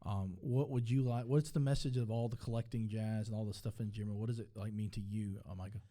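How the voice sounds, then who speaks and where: A man conducts a casual live interview at a brisk clip. His voice is very dark and relaxed, set a little low. He often chimes in to agree. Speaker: interviewer and co-host. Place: live on stage at a collectors convention.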